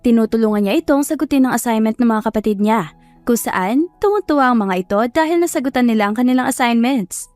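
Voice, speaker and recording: A woman's voice: fairly high pitch, fast, dry recording. A woman narrator reading a scripted love story in a storytelling style. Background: soft music.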